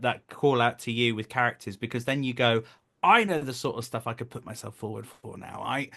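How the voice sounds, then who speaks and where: A man's voice: medium pitch, bright, forceful tone. Earnest man explaining in conversation. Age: 30s. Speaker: voice actor, director and coach. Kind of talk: conversational interview.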